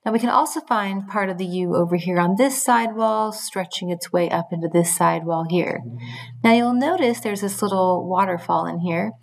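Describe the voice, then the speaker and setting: A woman's voice: mid-range voice, normal speed, creaky. A middle-aged woman teaching geology at a whiteboard, explaining step by step as she draws, with frequent fillers. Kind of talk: whiteboard lesson. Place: small room at a whiteboard. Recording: echoey room with faint noise.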